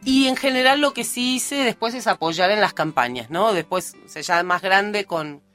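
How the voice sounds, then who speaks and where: A woman of about forty with a Rioplatense accent and a bright, forward voice. She talks informally as a radio guest, circling through personal asides, with faint music behind her. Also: mid-range voice, lively intonation, normal speed. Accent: Rioplatense Spanish. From born in Uruguay, raised in Argentina. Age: early 40s. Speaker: interview guest, political candidate. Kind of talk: casual conversational interview. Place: radio studio.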